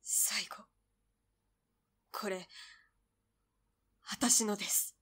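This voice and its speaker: A woman playing a fragile but stubbornly devoted young girl in an audio drama, breathy and hesitant. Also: mid-range voice, fairly even intonation, sharp tone, heavily acted. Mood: numb, halting. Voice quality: breathy, whispery. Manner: hesitant, halting.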